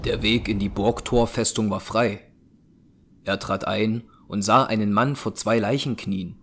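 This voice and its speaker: A man's voice: fairly low pitch, steady tone, unhurried, fairly bright tone, slight background noise. A man narrating a scripted dramatic action story as the narrator of an audio drama. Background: music.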